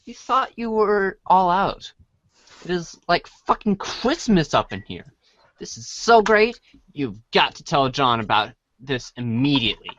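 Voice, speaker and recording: A man's voice: on the high side, big pitch swings, a little slow, fairly bright tone, dry recording. A man narrating a comic as a read-along storybook, informal and stumbling over words as he reads. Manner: informal, stumbles while reading. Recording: dry, dull band-limited audio.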